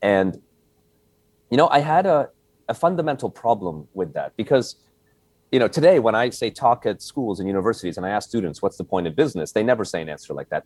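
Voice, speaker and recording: A man in his forties from Hungary, answering interview questions casually with a halting delivery full of fillers. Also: lowish voice, darkish tone, no room sound. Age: 40s. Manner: halting, many fillers and restarts. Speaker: guest, company founder.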